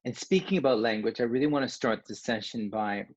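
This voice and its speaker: A man's voice: mid-range voice, a little fast, no room sound, highs cut off. A Canadian man, a coach, giving an online workshop presentation in a conversational way, with restarts and asides.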